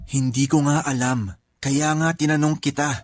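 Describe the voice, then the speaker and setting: A man's voice: a little high, steady tone, normal speed, faint music behind, natural voice. An actor playing a cautious, watchful young man who sees ghosts in a Filipino radio drama, explaining things warily. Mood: puzzled.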